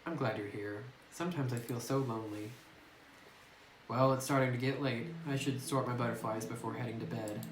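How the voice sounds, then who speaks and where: A man reading the lines of a lonely, reclusive butterfly collector, quiet and subdued. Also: medium pitch, brisk, a little hiss or hum, close to an everyday voice. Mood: wistful. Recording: roomy distant phone recording with music.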